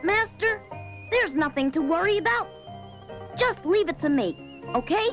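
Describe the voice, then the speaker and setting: A woman's voice: extremely high pitch, animated, a little slow, heavily acted. A woman voicing a clever, eager, confident young cat servant in a playful cartoon fairy tale, with a chirpy way of talking. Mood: reassuring. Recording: loud music behind the voice. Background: loud music.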